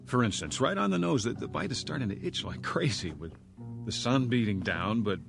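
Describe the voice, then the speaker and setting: An actor playing a bewildered man without memory in a radio drama, halting, stammering and questioning himself as he rambles. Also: medium pitch, lively intonation, gravelly, over music. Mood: rambling, reassuring himself. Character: bewildered amnesiac man, rambling and nervously chatty. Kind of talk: radio drama first-person monologue.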